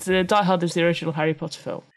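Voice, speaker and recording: A woman's voice: on the low side, little pitch movement, very fast. Playful woman co-hosting a podcast. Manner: playful, breaks into sung and read-out German. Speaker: podcast co-host. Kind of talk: casual chat.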